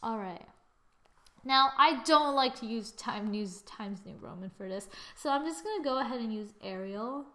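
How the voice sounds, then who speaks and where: A woman's voice: on the high side, animated, moderate pace, sharp tone. Chatty woman thinking aloud. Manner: chatty, thinking aloud, self-correcting with little exclamations. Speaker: tutorial host. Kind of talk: screen-recorded software tutorial.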